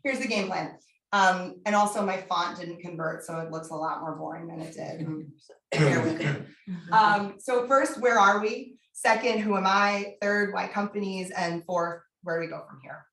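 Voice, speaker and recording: A woman giving a candid, energetic talk as a guest speaker, her voice husky from laryngitis, with frequent fillers. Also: medium pitch, normal speed, reverberant, low-quality recording. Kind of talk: public lecture.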